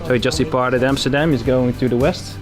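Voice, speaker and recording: A man's voice: medium pitch, steady tone, brisk. Matter-of-fact man explaining, music behind. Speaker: air traffic control tower guide. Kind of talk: informal explanatory tour talk.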